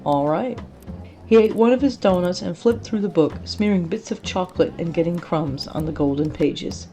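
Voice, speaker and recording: Mellow, lowish woman narrating over music. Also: normal speed. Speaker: story narrator. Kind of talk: expressive scripted story narration.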